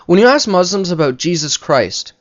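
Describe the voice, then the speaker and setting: A man with a fairly high voice delivers off-the-cuff religious commentary. He lectures and argues his points, recorded with muffled, lo-fi sound. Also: big pitch swings, normal speed. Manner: didactic, argumentative. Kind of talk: unscripted spoken commentary on religion.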